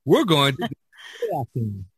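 An American man hosting a podcast casually, often pausing in the middle of his phrases. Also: medium pitch, wildly swooping pitch, a bit bright, slightly rough, no room sound, clean studio sound. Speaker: podcast host.

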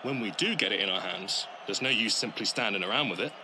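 A man's voice: on the low side, animated, quick pace, close to an everyday voice. A man playing an earnest, matter-of-fact male sports pundit in an audio story. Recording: noisy outdoor ambience behind voice.

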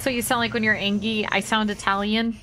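A woman's voice: medium pitch, flat delivery, brisk, bright, forceful tone, a little hiss or hum. A young woman streaming a game, chatting playfully with her chat as she plays. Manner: playful, chatty, talks to chat while playing. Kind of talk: casual gameplay commentary to chat.

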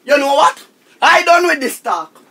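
An actor playing a pompous, tight-fisted Jamaican householder in a radio family drama, scolding emphatically and repeating himself. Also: male voice, very high-pitched, big pitch swings, measured pace, bright, forceful tone, a little hiss or hum, exaggerated voice. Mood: outraged. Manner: emphatic, declamatory, repetitive scolding.

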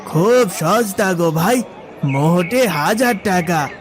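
A man playing a blunt but soft-hearted village fish seller in a cartoon fairy tale, plain-spoken as he haggles. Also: high-pitched, animated, takes it slowly, crowd noise. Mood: salesmanlike. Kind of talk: animated fairy tale acting.

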